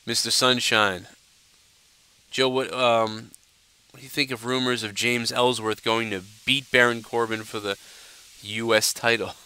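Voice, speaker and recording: A man's voice: mid-range voice, fairly even intonation, measured pace, sharp tone, clean tone, low-quality recording. A young man hosting a casual live stream, blunt and ranting, sounding a little nasal from a stuffed-up nose. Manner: blunt, ranting, loose and profane. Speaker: livestream host answering viewer questions.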